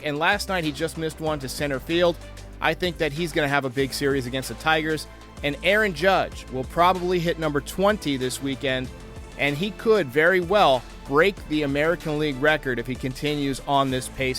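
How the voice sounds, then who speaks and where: A man's voice: on the high side, a bit bright. A man hosting a baseball fan show, delivering sports commentary and previews. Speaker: host of a baseball fan channel.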